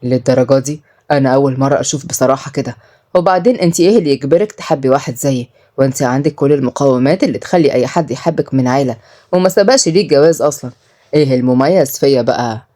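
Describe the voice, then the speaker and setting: A man's voice: medium pitch, rapid-fire, dark tone, voice pushed down, lightly acted. A woman voicing a wealthy, widowed government minister, a reserved and fatherly but stern man in an audio story.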